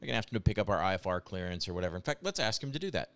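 A man with a low, smooth voice walks through a software demo. He talks casually and explains each step conversationally, as in a screen-share tutorial. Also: sing-song, racing, no echo.

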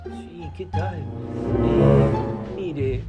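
A man's voice: a little high, huge pitch swings, very slow, loud music behind the voice, full character voice. A young Argentine man playing a blunt, curt male school rector in a prank phone call. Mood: stern. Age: young adult. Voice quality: curt, slangy. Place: outdoor patio by a house. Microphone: camera close, phone on speaker.

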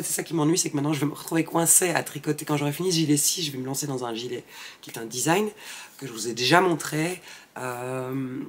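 A woman in her forties talks casually to camera from her craft room at home. She rambles, with frequent fillers and restarts. Her voice is picked up by a small lapel mic. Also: very deep voice. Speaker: knitwear designer and wool dyer. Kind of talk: casual podcast chat.

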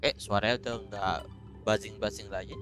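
A woman's voice: extremely low pitch, steady tone, a little slow, a bit bright, faint music behind. A woman with an Indonesian accent reacting casually to a game as a streamer.

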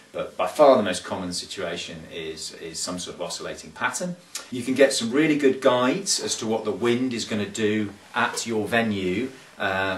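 Man in his thirties, low voice, halting explainer, echoey small room, distant camera mic. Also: a bit bright. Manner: thoughtful, hesitant with frequent fillers and restarts. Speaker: sailing coach. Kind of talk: casual instructional talk to camera. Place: small carpeted room indoors. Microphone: camera mic from a few feet away. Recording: roomy, distant camera audio.

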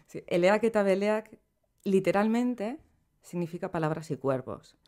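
A middle-aged woman, a poet, talking in a relaxed and reflective way as a podcast guest, laughing easily between thoughts, recorded in a small studio room. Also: medium pitch, measured pace. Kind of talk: relaxed conversational interview. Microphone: studio microphone on boom arm.

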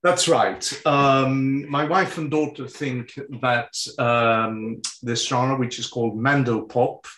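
Man, sixties, French as first language, slow and hesitant, lots of fillers, echoey room. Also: mid-range voice, steady tone, fairly bright tone. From Sweden. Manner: hesitant, many fillers and restarts. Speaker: guest. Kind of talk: conversational interview answers. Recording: roomy echo.

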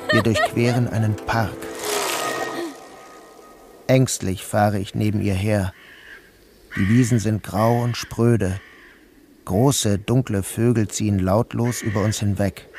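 An actor playing a troubled, dazed man who narrates his own day in a measured, literary radio drama style. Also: fairly low pitch. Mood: uneasy, dreamlike. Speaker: first-person narrator.

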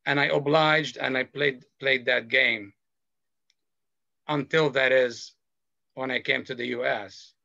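Earnest man on a gravelly video call. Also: medium pitch, sharp tone, no echo. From Lebanon. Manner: slow, earnest, measured delivery. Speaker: panelist. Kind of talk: personal testimony on a panel. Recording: dull video call audio.

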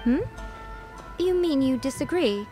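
Game role: sly, amused priestess, mock-sympathetic drawl with wide swings of pitch. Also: female voice, animated, measured pace, dark tone, soft background music. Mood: breezy. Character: sly, teasing, haughty fox priestess. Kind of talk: video game voice acting.

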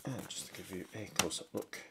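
A man giving unhurried, step-by-step product unboxing commentary indoors at a tabletop. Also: sits low, lively intonation, creaky. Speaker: product reviewer. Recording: faint handling and crinkling noise. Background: faint clicks and packaging crinkle.

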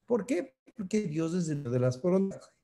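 Man, 50s, from Ecuador, pastor, mellow warm tone, emphatic preaching, close webcam in an office. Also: high voice, sing-song, normal speed, gravelly, faint music behind. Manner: earnest preaching, emphatic, with hand gestures. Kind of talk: sermon over video. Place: office indoors.

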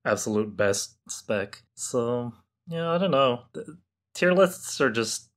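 A man's voice: medium pitch, lively intonation, slow pace, a bit bright. A man chatting casually and bluntly as a panelist on a gaming podcast. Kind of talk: casual, opinionated gaming chat.

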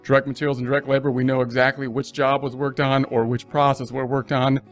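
A man's voice: mid-range voice, little pitch movement, fast talker, soft background music. A man teaching accounting in a casual voice-over lecture, talking it through and restarting phrases as he goes. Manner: conversational, restarts mid-phrase, thinks aloud. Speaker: accounting instructor.

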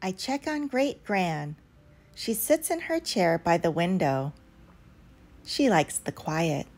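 A woman's voice: fairly low pitch, lively intonation, slow, a little mellow. A woman reading a picture book aloud expressively for young children, as a storyteller. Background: background music.

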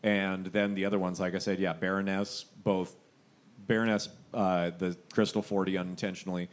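A man's voice: sits low, flat delivery, moderate pace. A man, a head brewer and guest on a stage panel, chatting casually with many fillers and restarts.

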